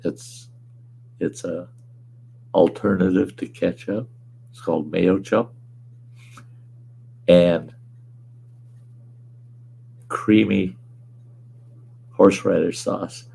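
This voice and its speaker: Hoarse, deep-voiced gravelly man. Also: fairly even intonation, very slow, long pauses, mellow. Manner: laid-back, rambling, chuckles often, salty language. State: hoarse, weakened voice. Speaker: livestream host. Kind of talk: casual livestream chat reading viewer comments. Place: at home.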